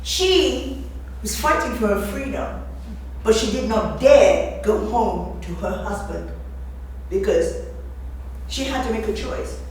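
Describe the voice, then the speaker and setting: A woman's voice: fairly high pitch, animated, takes it slowly, pauses often, darkish tone. A woman giving an explanatory literary talk, emphatic and repeating phrases for effect. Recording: echoey room, distant mic, faint noise.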